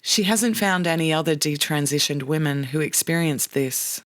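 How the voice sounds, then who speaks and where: A podcast narrator reading a scripted documentary narration in a measured, serious way. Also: mid-range voice, no room sound. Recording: dry studio voice with faint music.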